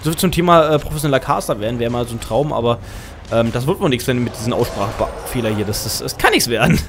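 A man's voice: mid-range voice, animated, fast talker. Young german guy casting a game at his desk, rambly, music playing under him. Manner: chatty, self-correcting, thinks aloud. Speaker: game caster. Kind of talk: casual game commentary.

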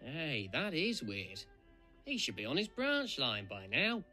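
A man's voice: on the high side, wildly swooping pitch, unhurried, bright, forceful tone, soft background music, exaggerated voice. A man voicing a vain, boastful red steam engine playing detective in a fan cartoon dub, pompous and huffy. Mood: curious. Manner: pompous, huffy, self-assured. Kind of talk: fan comic dub voice acting.

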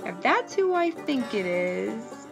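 A woman's voice: a little high, very animated, takes it slowly, gravelly, music in the background, full character voice. A woman voicing a mild, courteous bear father in a storybook. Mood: polite, mild.

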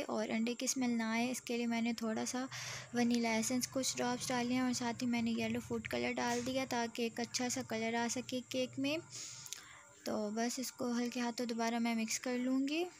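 A woman hosting her cooking channel, narrating a home recipe step by step to viewers in a steady, explanatory way. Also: a little high, moderate pace. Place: home kitchen.

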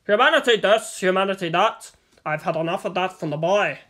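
A cruel male kidnapper from a dark audio story, acted out by the narrator. He is leering and contemptuous. His delivery is menacing and taunting, like a bully in control. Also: medium pitch, fairly quick, lightly acted.

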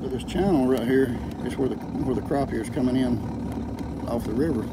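A man in his sixties with a Southern US accent, explaining fishing in a casual, folksy, unhurried way as a vlogger. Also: medium pitch. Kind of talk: casual outdoor vlog talk and explanation. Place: open fishing boat on a lake, partly in a vehicle. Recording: loud boat motor and outdoor noise.

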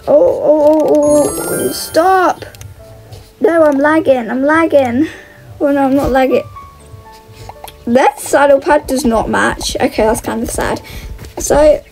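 A woman's voice: high voice, darkish tone, rough recording. A woman giving casual, chatty gameplay commentary, exclaiming and thinking aloud as she plays. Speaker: gaming video host. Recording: music under the voice.

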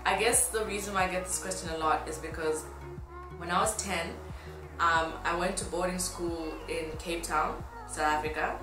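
A woman in her twenties from Tanzania talks casually and brightly in mood, as a blogger addressing followers. Her voice sits a little low for a woman. The room adds a clear echo, and music plays behind her. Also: fairly even intonation, moderate pace. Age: 20s. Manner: upbeat, informal. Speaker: fashion blogger. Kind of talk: casual chat to camera.

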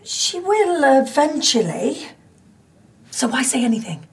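Composed, coaxing woman, middle-aged, comedy soap: articulate, slow, with expressive lift. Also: high-pitched. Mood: coaxing, cagey. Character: composed, coaxing older radio soap actress, secret lover. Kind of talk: naturalistic comedy soap acting. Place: radio studio corridor indoors, film camera.

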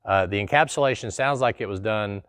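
A man's voice: fairly low pitch, brisk, a bit bright, no room sound. A middle-aged man, hosting a casual explainer in a small studio and answering a viewer's question in a folksy, conversational way. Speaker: host. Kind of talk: casual explanatory talk to camera. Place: small studio in front of a plain wall.

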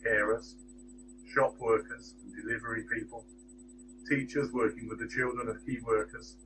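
A middle-aged man reading a Bible passage and giving a church reflection, in a measured, deliberate way, lifting his voice on the quoted words, recorded at close range on a webcam in a small room. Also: medium pitch, low-quality recording. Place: small indoor room with shelves. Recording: steady electrical hum behind the voice.

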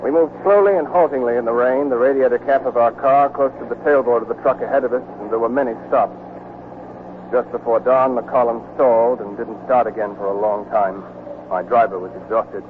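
A man's voice: medium pitch, fairly quick, lightly acted. An actor playing a weary American army deserter narrating his wartime love story in a 1940s radio drama. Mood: somber narrating. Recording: muffled, noisy 1949 radio broadcast recording.

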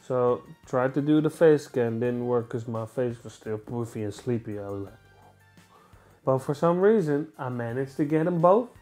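A man's voice: mid-range voice, animated, normal speed, darkish tone, soft background music. Chatty young man with a Dutch accent. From Netherlands. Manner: chatty, enthusiastic, rambling with restarts. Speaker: sneaker vlogger. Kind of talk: casual unboxing review. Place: small indoor room, seated on a sofa.

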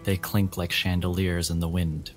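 Very deep-voiced man narrating darkly. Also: level tone, music in the background. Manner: deliberate, dramatic, ominous storytelling. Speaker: game master of a role-playing podcast. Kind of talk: atmospheric scripted narration of a tabletop game.

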